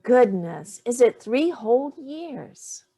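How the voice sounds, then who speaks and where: A woman's voice: a little high, sing-song, slow pace, mellow, husky, heavily acted. A middle-aged woman playing a sardonic, wounded older mother in a staged play reading, with clipped, cutting delivery. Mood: sarcastic, cutting.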